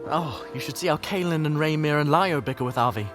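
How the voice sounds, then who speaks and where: A man's voice: on the high side, animated, brisk, over music, lightly acted. An actor in an audio drama playing a playful, flamboyant adventurer who chats and teases his friends theatrically. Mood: playful, boastful. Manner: teasing, flamboyant, chatty. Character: playful, theatrical, teasing male adventurer and mentor.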